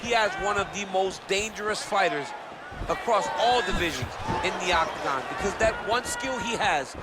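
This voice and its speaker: A man's voice: sits high, animated, moderate pace, very noisy. A man playing an enthusiastic MMA color commentator, giving emphatic, admiring analysis. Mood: excited. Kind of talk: sports commentary.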